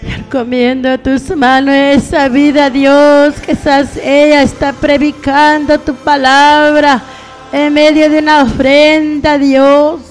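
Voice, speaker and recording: A woman's voice: high voice, level tone, slow, poor audio. A mature woman preacher praying aloud in a pleading, spontaneous way, calling out to God again and again in short phrases. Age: middle-aged or older. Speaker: evangelical preacher praying on a radio programme. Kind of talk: fervent spontaneous intercessory prayer. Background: faint music.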